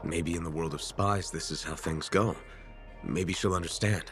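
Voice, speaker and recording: A man's voice: deep voice, steady tone, normal speed, music in the background, close to an everyday voice. A man playing a plain-spoken, wry and empathetic mercenary hero in a video game, talking in a casual, conversational way. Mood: sober, probing. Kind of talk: video game dialogue acting.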